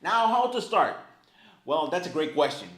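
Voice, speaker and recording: A man's voice: on the high side, normal speed, noisy background. A man teaching a language in a casual, chatty way to camera, filling his talk with "right?" and "like". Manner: animated, chatty, many fillers like "right?" and "like". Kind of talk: casual explanatory lesson to camera. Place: indoor room, filmed by a camera operator. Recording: echoey room.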